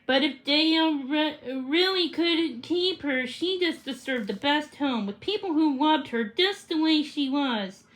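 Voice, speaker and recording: High-pitched, slow-paced female story reader. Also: fairly bright tone, poor audio. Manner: halting, stumbles and rereads words. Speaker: reader of a children's book. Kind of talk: read-aloud storytelling.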